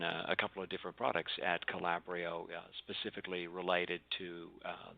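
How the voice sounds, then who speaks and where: Low, gravelly man presenting on a webinar. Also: highs cut off. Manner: hesitant, many fillers and restarts. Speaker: webinar panelist.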